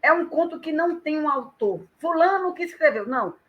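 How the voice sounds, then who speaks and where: A woman guest on a live chat, talking lively and emphatically as she gives advice. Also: high voice, brisk, highs cut off. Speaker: guest storyteller and author.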